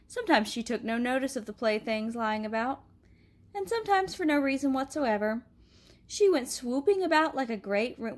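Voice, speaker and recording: A woman reads a children's picture book aloud. Her delivery is gentle and expressive. Her voice sits somewhat high for a woman. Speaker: storybook reader.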